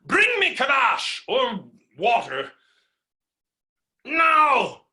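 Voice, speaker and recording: Indignant creditor, high-pitched, wildly swooping delivery, melodramatic stage comedy rant, roomy echo. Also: male voice, wildly swooping pitch, drawn-out words, bright, forceful tone, heavily acted. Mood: raging. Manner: ranting, exclamatory, exasperated, melodramatic. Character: blustering, hot-tempered male creditor, unkempt and indignant. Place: indoor room, recorded remotely. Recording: roomy, echoing virtual staged-reading recording.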